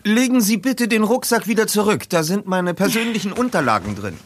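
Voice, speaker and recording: An actor playing a clever, polite young detective posing as a dignitary in a German audio drama, speaking formally and eloquently. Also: male voice, high-pitched, lively intonation, fairly quick, slight background noise. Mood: firm.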